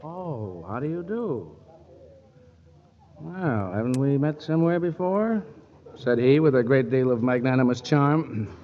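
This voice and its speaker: An actor playing a wisecracking, flirtatious lawyer in a 1940s radio comedy, sardonic and quick with wry quips. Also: male voice, medium pitch, animated, highs cut off, lightly acted. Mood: smooth-talking. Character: wisecracking, flirtatious male lawyer who likes a drink. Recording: old 1940s radio broadcast.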